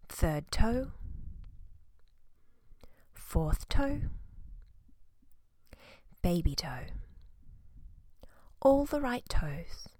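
A woman leading a guided relaxation in a soft, soothing, breathy voice that often nears a whisper, speaking in short phrases with pauses. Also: on the low side, no echo. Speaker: guided meditation instructor. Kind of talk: guided body-scan relaxation narration.

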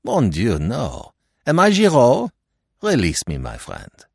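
A man voicing a mild, unruffled older French-speaking detective with a measured, courteous manner in an audiobook. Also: medium pitch, wildly swooping pitch, vocal fry, breathy edge, dry recording, clean studio sound, pitched above the performer's own voice, full character voice. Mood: mildly indignant. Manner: measured, mildly amused, courteous. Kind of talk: audiobook character dialogue.

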